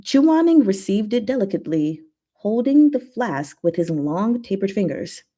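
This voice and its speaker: A woman's voice: medium pitch, big pitch swings, normal speed, warm tone. A woman reading fan fiction aloud as narration. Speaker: story reader.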